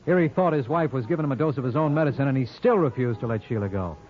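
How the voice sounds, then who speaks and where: A brisk, wisecracking lawyer-sleuth from an old radio play, acted by a man. He talks fast in a glib, teasing, slangy way at a middling pitch. The vintage broadcast sound is dull, with music in places. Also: animated, lightly acted. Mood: smug, bantering. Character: wisecracking, cocky male lawyer turned amateur sleuth. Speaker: lead actor in a radio detective drama. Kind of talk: 1950s radio drama acting.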